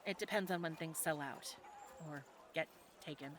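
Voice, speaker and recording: Over clear background noise comes a woman acting as a friendly tavern woman from a medieval fantasy audio adventure. Her voice is bright and forward. She speaks conversationally, teasing a little as she guides a newcomer. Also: sits low, moderate pace. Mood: guiding. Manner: conversational, gently instructive.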